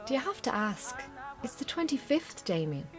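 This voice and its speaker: Irish farm wife in a strained marriage. Brisk, wry, yearning underneath, very varied pitch, radio play naturalism. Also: female voice, sing-song, over music. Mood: pleading, hurt. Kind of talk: naturalistic radio drama dialogue.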